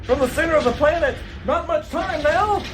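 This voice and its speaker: A man's voice: very high voice, moderate pace, over music, voice pushed up. Man hamming up an overdramatic doomed radio father. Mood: frantic, triumphant. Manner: overdramatic, exclamatory. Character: grave, urgent doomed alien father, old radio melodrama. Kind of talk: 1940s radio acting.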